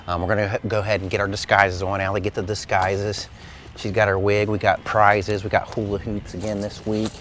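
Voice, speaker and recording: A man's voice: on the low side, little pitch movement, brisk, fairly bright tone, slight background noise. A man hosting a kids' gym video, chatting casually and playfully as he gives a helper instructions. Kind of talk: casual chat while setting up a prank.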